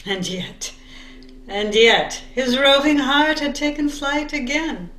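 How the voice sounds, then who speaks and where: A woman narrating a biographical play, telling the story deliberately and expressively with dramatic emphasis. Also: high-pitched, fairly bright tone, reverberant, low-quality recording.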